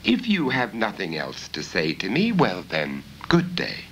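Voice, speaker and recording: A man's voice: lowish voice, huge pitch swings, measured pace, fairly bright tone, a little hiss or hum, heavily acted. A man voicing an impatient rich lord with a formal, exasperated manner in a storybook reading. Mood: dismissive. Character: rich lord, impatient husband, reasonable then commanding.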